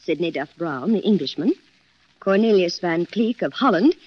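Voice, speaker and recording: Alluring female secretary, coy, poised, arch, lively swooping intonation, 1940s radio drama, dull lo-fi recording. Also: lowish voice, normal speed. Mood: gracious hostess. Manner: coy, smooth, politely cutting.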